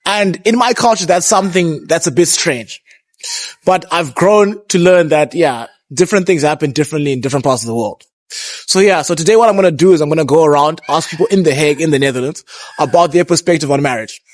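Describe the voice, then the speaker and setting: A man's voice: on the high side, expressive, brisk, no room sound. A young man hosting a casual street interview outdoors on a city street, laid-back and calling people "bro". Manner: laid-back, says "bro" often, repeats "I get you". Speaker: street interviewer and vlog host.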